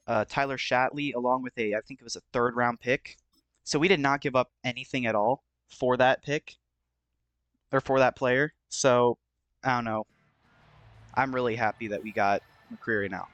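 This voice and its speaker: A man's voice: mid-range voice, fairly bright tone, no echo. Casual male gaming host, very dry sound. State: slightly hoarse, voice starting to go. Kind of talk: casual play-by-play gameplay commentary.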